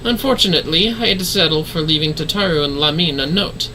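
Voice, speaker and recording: A man's voice: fairly high pitch, lively intonation, fast talker, pushed and bright, slight background noise, low-quality recording. A man voicing a refined, earnest woman leader in a fantasy game, speaking in formal, solemn, archaic phrasing. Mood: resigned, gracious.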